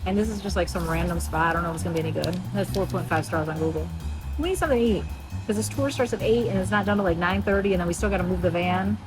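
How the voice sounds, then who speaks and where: A woman's voice: fairly low pitch, racing, music in the background, poor audio. A woman chatting casually as a travel vlogger. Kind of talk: casual vlog chat.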